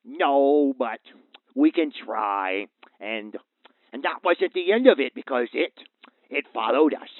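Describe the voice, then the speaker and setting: An actor playing a worried, earnest father calling a radio show, speaking haltingly over a phone line. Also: mid-range voice, lively intonation, dry recording. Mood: shaken, worried. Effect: phone line filter. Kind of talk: audio drama phone-in acting. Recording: tinny, narrow phone-like line.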